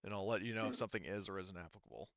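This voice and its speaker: Bright, clear-voiced man, dry sound. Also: low-pitched, racing, no echo, muffled recording. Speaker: game master. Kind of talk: running a tabletop roleplaying game, casual explaining.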